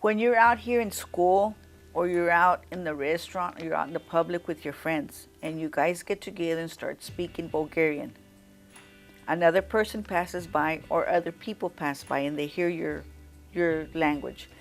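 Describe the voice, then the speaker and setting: A woman's voice: lowish voice, normal speed, soft background music. Polite woman asking interview questions. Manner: polite, restarts her questions. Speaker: student interviewer. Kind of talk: casual student interview.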